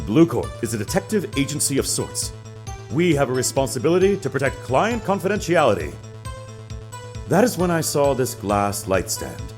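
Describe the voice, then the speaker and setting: An actor playing a smug, affected detective agency boss on the witness stand in a video game drama, pompous and flowery. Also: male voice, medium pitch, sing-song, normal speed, darkish tone, soft background music, lightly acted. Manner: pompous, flowery, self-satisfied.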